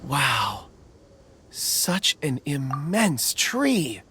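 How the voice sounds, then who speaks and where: A woman's voice: a bass voice, big pitch swings, drawn-out words, sharp tone, a little hiss or hum, heavily acted. A woman playing an earnest, thoughtful young wanderer in a video game, polite and musing. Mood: wondering. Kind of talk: video game character acting. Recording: game music behind the voice. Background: game music.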